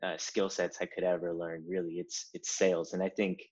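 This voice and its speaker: A very deep-voiced man in his thirties, born in Cuba, speaks as a guest in a relaxed interview. He tells his story earnestly, often restarting phrases as he builds his points. The sound is a little dull and lo-fi. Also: fairly quick, slightly rough. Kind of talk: casual interview.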